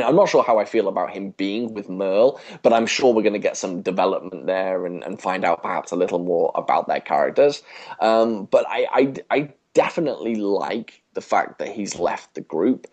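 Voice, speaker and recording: A man's voice: low-pitched, expressive, normal speed, darkish tone. A man co-hosting a casual podcast, speaking hesitantly and often repeating words as he thinks. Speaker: podcast co-host. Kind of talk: casual podcast chat about a TV show.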